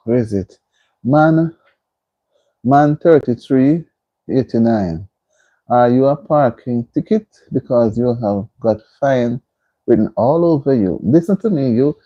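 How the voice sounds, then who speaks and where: A woman's voice: a bass voice, highs cut off. A woman hosting a casual livestream from home, laughing and teasing as she reads viewer comments aloud. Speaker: livestream host. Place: indoors at home.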